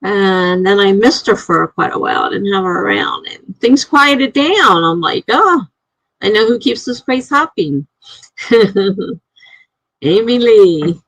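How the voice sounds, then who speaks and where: Slow, gravelly woman. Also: medium pitch, animated, fairly bright tone, no room sound, poor audio. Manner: slow, chatty, laughs often, reads comments aloud. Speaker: live stream host. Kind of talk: casual live stream chat, reading out viewer comments.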